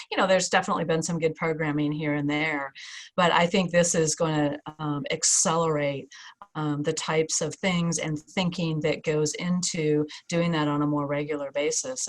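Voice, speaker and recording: A woman facilitating a video call roundtable, talking conversationally with frequent "you know" asides. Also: deep voice. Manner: conversational, uses you know and right often. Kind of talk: video call panel moderation.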